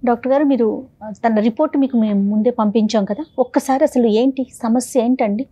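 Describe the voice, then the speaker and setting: A woman hosts an interview, questioning and commenting with sympathy. Her voice is a little high and soft-edged, with huskiness, recorded dry. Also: brisk, slightly rough, no room sound. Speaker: show host interviewing a family and a doctor.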